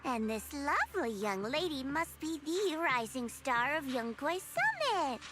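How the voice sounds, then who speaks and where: A woman's voice: high voice, very animated, measured pace, loud music behind the voice. A woman voicing a teasing, self-assured young female agent in a video game, with a playful, smug, coaxing delivery. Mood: breezy, playful.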